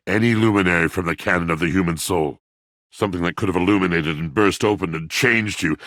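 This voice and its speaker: Very deep, brooding, theatrical washing machine. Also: male voice, dry recording, studio quality. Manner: theatrical, eloquent, pompous, archaic diction. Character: brooding literary washing machine, melancholy, haughty male. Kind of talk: dramatic video game character acting.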